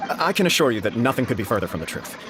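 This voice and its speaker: A man playing a courteous young nobleman in a video game, speaking in a formal, diplomatic way over loud noise. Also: medium pitch, sing-song, close to an everyday voice. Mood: reassuring. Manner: formal, diplomatic, measured wording. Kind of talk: video game character acting.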